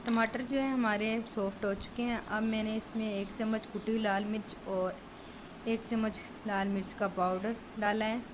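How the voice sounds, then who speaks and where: A woman home cook talks casually through each step of her recipe. Her voice sits at a medium pitch. A phone over the pan picks her up with clear background noise and sizzling. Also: steady tone, normal speed. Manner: chatty, explains steps while cooking. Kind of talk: cooking tutorial narration. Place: home kitchen at a stove. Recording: noisy home recording.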